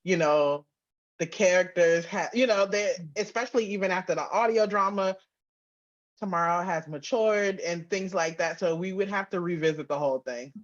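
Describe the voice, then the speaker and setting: A woman's voice: lowish voice, moderate pace, lo-fi sound. A woman giving casual, candid interview answers as a guest author, chatty and emphatic. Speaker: guest, author and audio drama writer-producer.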